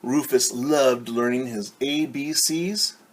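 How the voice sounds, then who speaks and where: A man's voice: medium pitch, slow pace. A man teaching young children at story time, reading aloud and explaining in simple, encouraging words with questions to his listeners.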